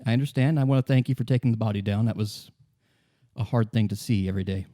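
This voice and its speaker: A man voicing a guarded, wry young woman in hiding, polite and halting, in a tabletop roleplay. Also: fairly low pitch, dark tone, no room sound, clean studio sound, lightly acted. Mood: polite, composed.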